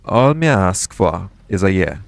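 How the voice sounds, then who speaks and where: A man's voice: low-pitched, creaky, noisy background, heavily acted. A man playing a shady, persuasive pirate captain in an audio roleplay, bargaining straight to the listener in roguish, ungrammatical speech. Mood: firm bargaining. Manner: coaxing, bargaining, roguish dialect grammar. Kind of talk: audio roleplay acting, addressing the listener directly.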